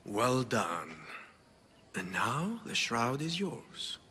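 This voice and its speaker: A man playing a smooth-talking, calculating rich patron in a video game cutscene, measured and coolly condescending. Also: medium pitch, big pitch swings, bright, forceful tone, slight background noise, heavily acted. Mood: sly, approving. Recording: long reverb, background music.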